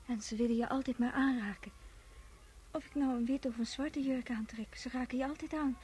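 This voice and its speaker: A shy gentle young girl in a radio drama, really high voice, softly curious and withdrawn. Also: fairly even intonation, a little fast, breathy edge. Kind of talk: Dutch radio play acting.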